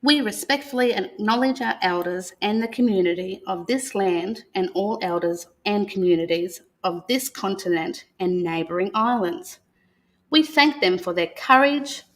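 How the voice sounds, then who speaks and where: An Australian woman speaking as a guest storyteller, talking and reading a picture book aloud in a gentle manner. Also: medium pitch, unhurried. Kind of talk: unscripted talk and picture-book read-aloud.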